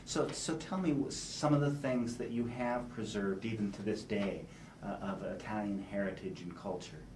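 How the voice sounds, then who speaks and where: A man conducting a conversational interview, asking questions in a halting, rephrasing way. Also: lowish voice. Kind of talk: conversational oral-history interviewing. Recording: echoey room, distant mic, background noise.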